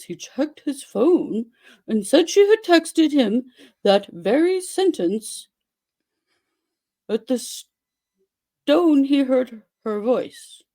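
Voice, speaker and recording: Slow, high-voiced woman reading dramatically. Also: warm tone. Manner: slow, exaggerated delivery with dramatic pauses. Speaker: dramatic reader. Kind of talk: theatrical dramatic reading of a story.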